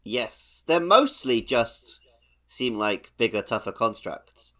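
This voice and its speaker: A man's voice: medium pitch, very animated, slow, a bit bright. A man co-hosting a podcast, talking casually about video games in an easygoing, conversational way. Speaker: podcast co-host. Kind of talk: casual video game discussion.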